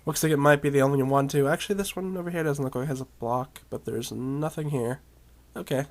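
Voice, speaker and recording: A man with a hoarse, raspy voice chatting casually as he explores a virtual world. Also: medium pitch, quick pace. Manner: rambling, chatty, with coughs and laughs. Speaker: video game vlogger. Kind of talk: casual commentary while exploring a game.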